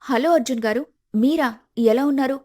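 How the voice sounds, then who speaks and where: A woman voicing a polite, grateful young woman in an animated moral story, respectful and earnest. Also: sits high, normal speed.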